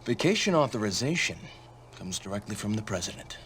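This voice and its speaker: An actor playing a gruff, sardonic military commander giving mission briefings in a video game cutscene. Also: male voice, fairly low pitch, very animated, a little fast, fairly bright tone, slight background noise. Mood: dry, businesslike. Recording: music behind the voice. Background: music.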